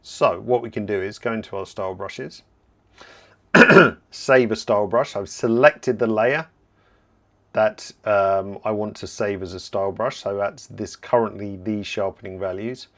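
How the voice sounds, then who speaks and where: A man hosting a live photo-editing software tutorial, explaining steps as he works and answering viewer questions, slightly hoarse and clearing his throat now and then. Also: fairly low pitch, unhurried. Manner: relaxed, explanatory, thinking aloud while working. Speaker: software trainer hosting a livestream.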